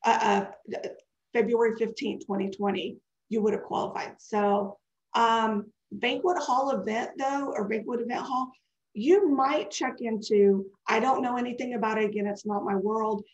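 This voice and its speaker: Brief: congested, slightly high-voiced woman in her forties, chatty webinar presenter, dull roomy video-call sound. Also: fairly even intonation, normal speed. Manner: chatty and digressive, answering questions. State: congested and scratchy. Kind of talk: live webinar talk. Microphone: webcam video call.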